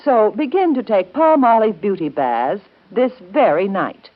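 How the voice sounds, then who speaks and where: A woman's voice: medium pitch, big pitch swings, measured pace, heavy background noise. An American woman reading a scripted 1930s radio commercial, advising the listener in an intimate, carefully phrased way. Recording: dull, band-limited 1930s radio broadcast.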